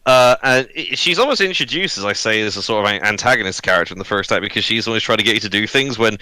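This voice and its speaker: A man's voice: lowish voice, animated, fast, sharp tone, clean tone, dry recording, poor audio. A man hosting a casual podcast discussion, talking in a rambling, jokey, sweary way. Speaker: podcast host.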